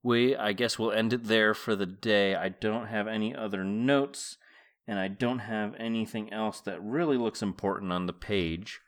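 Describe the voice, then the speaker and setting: Lower-voiced man hosting a solo book podcast. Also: moderate pace. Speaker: podcast host. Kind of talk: book read-aloud and casual solo commentary.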